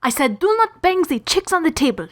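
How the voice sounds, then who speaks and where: A woman's voice: high voice, very animated, a little fast, voice pushed up. Woman putting on a stern, oblivious foreign teacher. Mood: irritated, scolding.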